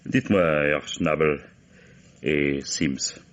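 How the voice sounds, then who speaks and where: An actor playing a wily, genial police commissioner in an old French radio mystery, drawling falsely casual questions and musing asides. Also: male voice, rumbling low, steady tone, slow. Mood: sly, probing. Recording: dull old radio drama recording.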